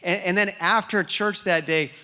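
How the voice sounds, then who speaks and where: A man's voice: sits high, normal speed, clear, resonant tone. A man who grew up in Liberia as an American, preaching a storytelling sermon with frequent restarts. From grew up in Liberia, West Africa, as an American. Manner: storytelling, animated, with repeated restarts. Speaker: pastor.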